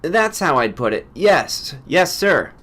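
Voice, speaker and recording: A man's voice: on the high side, very animated, takes it slowly, bright, forceful tone, pitched above the performer's own voice, exaggerated voice. A man voicing an aging, hard-bitten lawyer in a story, emphatic and fervently admiring.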